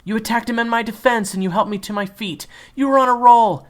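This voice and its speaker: A man's voice: extremely high pitch, a little fast, voice pushed up. A man voicing a spunky, bossy teenage schoolgirl who scolds and insists. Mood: scolding. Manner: insistent, scolding, sharp-tongued.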